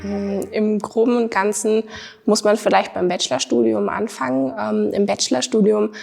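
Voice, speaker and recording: Gravelly-voiced young German woman student. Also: mid-range voice, fairly even intonation, moderate pace, a little mellow, soft background music. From Thuringia, Germany. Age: 20s. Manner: earnest, many fillers, stresses words by repeating them. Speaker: master's student. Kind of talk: interview answers, casual.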